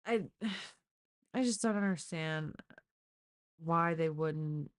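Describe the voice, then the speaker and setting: A woman's voice: deep voice, extremely slow. A woman talking casually and informally, as on a live true crime stream, with exasperated asides. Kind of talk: casual live case discussion.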